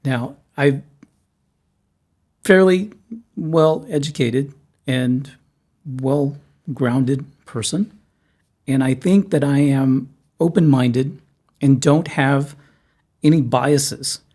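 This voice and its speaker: A man's voice: medium pitch, drawn-out words, long pauses, dark tone. A man hosting a podcast interview, talking in a chatty, self-deprecating way with frequent restarts. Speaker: podcast host. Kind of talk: casual interview chat. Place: studio room in Moscow.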